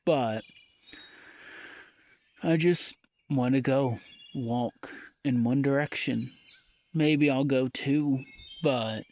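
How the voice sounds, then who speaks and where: A young man recording a personal audio diary, talking in a weary, halting way that trails off into sighs. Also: mid-range voice, a little mellow.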